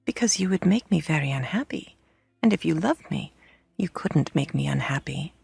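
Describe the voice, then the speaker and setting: Woman playing a tender, troubled mother, expressive and breathy. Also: low-pitched, normal speed, dry recording, faint music behind, exaggerated voice. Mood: dismayed. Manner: gentle, tender, grave. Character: loving, troubled young mother of small children.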